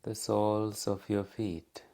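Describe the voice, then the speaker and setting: A man with a low, gravelly voice guides a meditation at an extremely slow pace. He speaks calmly as a teacher would, leaving long pauses between short phrases, and his tone stays quite even. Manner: calm, unhurried, with long pauses.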